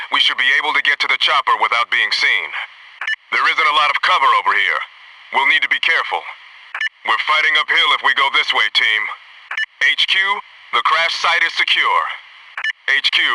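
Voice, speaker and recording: A man's voice: mid-range voice, sharp tone, distant mic, slight background noise, low-quality recording. A man playing an alert, disciplined Navy SEAL squad soldier in a military video game, giving clipped, businesslike tactical reports.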